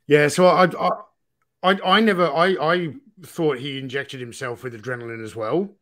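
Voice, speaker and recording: A man co-hosting a livestream, chatting casually and haltingly as he thinks things through. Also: a little high, steady tone, fairly bright tone. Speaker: livestream co-host. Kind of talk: casual chat, reading viewer comments.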